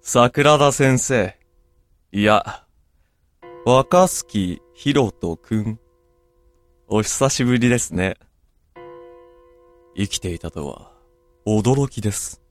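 A man's voice: fairly low pitch, lively intonation, faint music behind. A man playing a coldly polite, mocking villain in an audio drama, speaking smugly with a sneer under the courtesy. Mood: coolly mocking. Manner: slow, smug, politely sneering. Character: coldly polite, mocking male villain who hunts werewolves. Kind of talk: Japanese audio drama acting.

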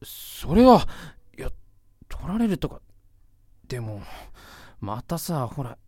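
Man acting earnest young student, swinging pitch. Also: sing-song, slow pace, darkish tone, no echo, clean studio sound. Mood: hesitant, embarrassed. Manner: hesitant, emotional, casual boyish speech. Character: insecure, earnest young male college student in love. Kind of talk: Japanese audio drama acting.

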